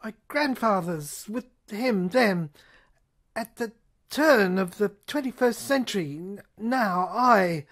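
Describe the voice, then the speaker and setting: A woman performing dramatic first-person narration for a science fiction audio play, intense and halting, repeating phrases and at times dropping toward a whisper. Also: medium pitch, expressive.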